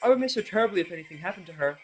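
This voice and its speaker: A man voicing a shy, soft-spoken female cartoon pony with a tentative, gentle manner in a fan audio drama. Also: sits high, animated, very fast, soft background music, voice pushed up, low-quality recording.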